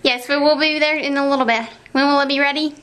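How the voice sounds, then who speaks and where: A woman's voice: sits high, fairly even intonation, fast talker, fairly bright tone. A teenage girl talking casually as an amateur prank caller, recorded in a small room at home. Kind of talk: casual chat. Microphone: camera's built-in mic, close by.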